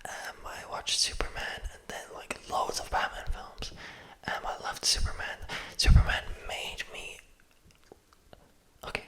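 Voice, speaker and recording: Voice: unhurried, pushed and bright. A young adult ASMR creator in a close-mic whisper, chatting loosely with lots of fillers and often losing the thread. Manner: rambling and loose, heavy on "like" and "um" fillers; often loses the thread of the words. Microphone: close-up mic, near enough to pop.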